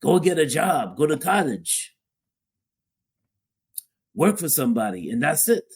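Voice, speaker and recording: A man's voice: fairly high pitch, big pitch swings, measured pace, breathy edge. A man co-hosting a podcast, talking casually about relationships and repeating phrases for emphasis. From Guyana (family). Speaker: podcast co-host. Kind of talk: casual opinion talk.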